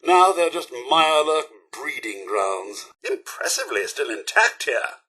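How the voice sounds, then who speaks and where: An actor playing a polite, fussy, sardonic robot butler in a video game, with a British accent and a prim, theatrical, dryly sarcastic manner. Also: male voice, medium pitch, sing-song, unhurried, pushed and bright, husky, heavily acted. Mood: wistful, concerned. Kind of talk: scripted game companion dialogue.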